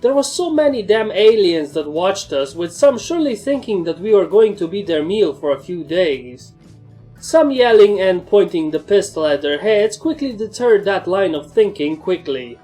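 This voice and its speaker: A woman's voice: mid-range voice, expressive, normal speed, dark tone, soft background music, poor audio. A woman reading first-person fan fiction as a scripted story narrator.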